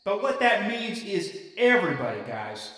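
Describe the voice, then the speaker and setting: A echo-soaked, distant sermon in a big hall, performed by a male actor. The preacher is a high-pitched man, folksy and impassioned, exhorting young believers in an audio drama. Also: very animated, moderate pace, sharp tone, exaggerated voice. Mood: earnest teaching. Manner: folksy, rhetorical, emphatic. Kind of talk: sermon, exhortation with call and response. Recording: very echoey hall, distant from microphone.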